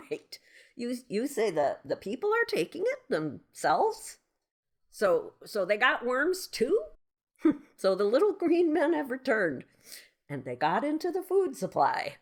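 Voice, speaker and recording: Comic audio sketch: actress as dotty female seller, very slow musing, wildly animated high pitch. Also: full character voice. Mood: amused, baffled.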